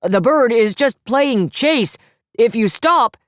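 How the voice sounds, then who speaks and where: A kindly old bear professor from a storybook, done by a woman narrator, talking slowly and with weight, his voice full and steady. Each word is set down with care. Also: a little high, no room sound, clean studio sound, voice pushed up.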